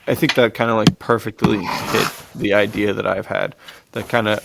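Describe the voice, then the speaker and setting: A man's voice: fairly low pitch, little pitch movement, moderate pace, vocal fry, very noisy. A man co-hosting a podcast, discussing films in an informal, laid-back, slangy way. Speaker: podcast co-host. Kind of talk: casual film discussion.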